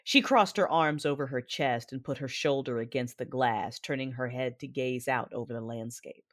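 A woman playing a brooding, commanding Sith who narrates her memories in a measured way for an audio drama. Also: extremely low pitch. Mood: measured, solemn. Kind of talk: first-person audio drama narration and dialogue.

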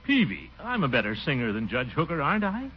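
An actor playing a pompous, blustering middle-aged bachelor in a 1940s radio sitcom, vain about his singing and quick to take offense. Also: wildly swooping pitch, soft background music. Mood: wheedling. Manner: blustery, indignant, with big swoops in pitch. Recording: dull old radio broadcast with faint hiss.

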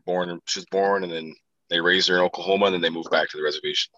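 A man's voice: low-pitched, fairly even intonation, quick pace, pushed and bright, no echo, muffled recording. A laid-back man chatting casually, rambling through family stories and laughing often. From South Dakota. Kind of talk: casual chat.

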